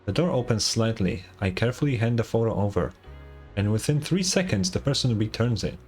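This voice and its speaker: A man's voice: lowish voice, expressive, a little fast, darkish tone, soft background music. A man giving casual, reactive game playthrough commentary, with some swearing. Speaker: gaming streamer. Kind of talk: game playthrough commentary and read-aloud dialogue.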